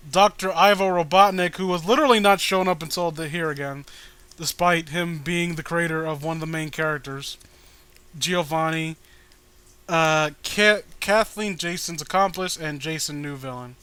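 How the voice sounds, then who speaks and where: A man with a high, bright voice hosts a casual comic read-along. His tone is smooth and clear, and he talks in a jokey, crude way. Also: dry recording. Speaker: host reading a webcomic with a friend.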